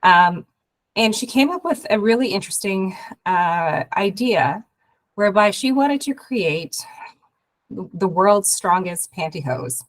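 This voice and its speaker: A woman from Canada, hoarse from a cold, giving a halting webinar talk over a video call with many fillers and restarts. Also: mid-range voice, animated. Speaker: guest speaker, investment program head. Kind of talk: webinar presentation.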